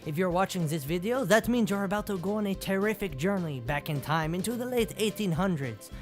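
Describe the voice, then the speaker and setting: An actor playing a proud, wealthy 1800s Basque rancher guiding a museum tour, theatrical and formal, with a Basque accent. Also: male voice, high-pitched, lively intonation, a little fast, soft background music. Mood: grand welcoming. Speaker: museum guide in character. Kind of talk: scripted educational skit.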